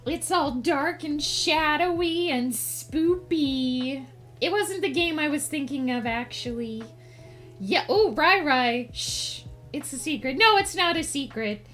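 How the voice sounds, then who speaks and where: A woman's voice: high-pitched, slow, a bit bright, faint music behind. A woman livestream host chatting casually with viewers in a playful, cutesy put-on character voice.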